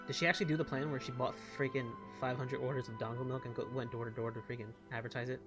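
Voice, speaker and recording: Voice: mid-range voice, flat delivery, fast. A game streamer of unclear sex chatting casually through a playthrough, rambling and reacting aloud. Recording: game music behind.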